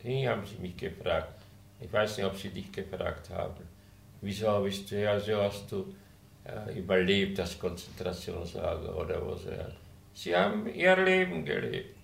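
An older man with a Czech accent, a native Czech speaker, speaking German haltingly in an interview. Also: deep voice, lively intonation, gravelly. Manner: halting, searching for words, frequent hesitations. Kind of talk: conversational interview. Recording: roomy room sound.

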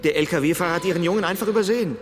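A man's voice: high-pitched, fast talker, noisy background, close to an everyday voice. A man playing a shaken, apologetic witness in a radio play. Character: shaken, apologetic male bearer of accident news.

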